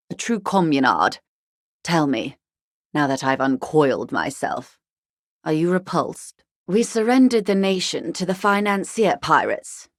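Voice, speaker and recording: Game casting: worldly negotiator woman, low register, dry close recording, polished, coolly ironic, politely menacing. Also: no echo, full character voice. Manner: polished, measured, wryly courteous. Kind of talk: video game character dialogue.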